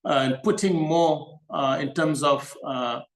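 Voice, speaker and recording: A man, an organization's executive chairperson, giving opening remarks at an online meeting, halting often with fillers. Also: a little high, steady tone.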